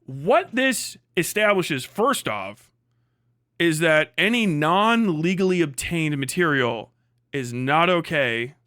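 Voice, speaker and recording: A man with a somewhat high voice talks off the cuff as a livestream commentator. His delivery is blunt and emphatic, peppered with profanity and repeated phrases. The sound is very dry, with no room echo. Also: pushed and bright, clear, resonant tone.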